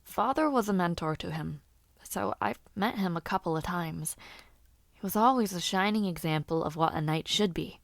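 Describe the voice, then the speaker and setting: A woman's voice: lowish voice, normal speed, vocal fry, dry recording, clean studio sound. A woman voicing a chatty young noblewoman who loves gossip, talking casually and teasingly with frequent laughs. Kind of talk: audio roleplay addressed to the listener.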